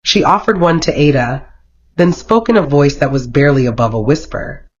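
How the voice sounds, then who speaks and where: A storyteller reading a scripted romantic folktale in a dramatic, expressive manner. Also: medium pitch, normal speed, husky. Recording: dull, lo-fi sound.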